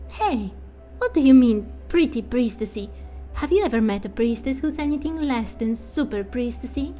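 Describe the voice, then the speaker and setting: An overconfident young priestess from a fantasy game, put on by a woman. Her voice is high and soft-edged, with emphatic, boastful delivery and a temper that rattles easily. Faint music plays behind her. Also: lively intonation, moderate pace, lightly acted. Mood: breezy, boastful.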